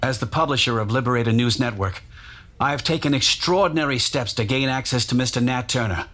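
A man playing a formal, deliberate nineteenth-century newspaper publisher reporting in a historical dramatization. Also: mid-range voice, a bit bright, close to an everyday voice. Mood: grave, observant.

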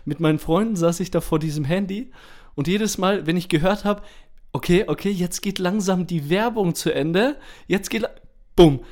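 A male podcast host with a fairly high voice. He chats informally, starting sentences over and correcting himself as he goes. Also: darkish tone. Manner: informal, halting, self-correcting with repeated false starts. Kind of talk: casual chat.

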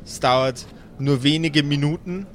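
Man, game master narration, very slow, measured pauses, fantasy audio play, slight background noise. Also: fairly high pitch, fairly bright tone. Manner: deliberate, pausing between phrases.

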